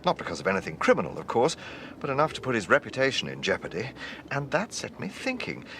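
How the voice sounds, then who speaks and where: An actor playing an urbane gentleman police detective in a radio drama, with a British accent and a courteous, probing, dryly amused manner. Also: medium pitch, wildly swooping pitch, fast talker, a little hiss or hum. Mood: reflective.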